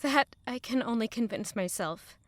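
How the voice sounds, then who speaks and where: A woman's voice: on the high side, lively intonation, normal speed, no echo, natural voice. An actress playing a guilt-ridden, selfless young woman in love, speaking earnestly and emotionally in an intimate audio drama. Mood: distressed.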